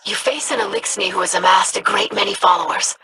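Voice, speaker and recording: An actress voicing an earnest young female alien ally who speaks in formal, measured sentences, in video game dialogue. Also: medium pitch, fairly even intonation, very noisy, natural voice.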